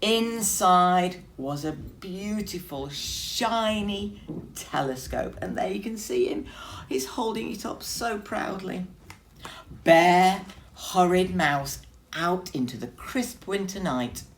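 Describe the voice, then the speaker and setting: A woman's voice: on the low side, animated, takes it slowly. A woman teacher reading a story expressively to young children.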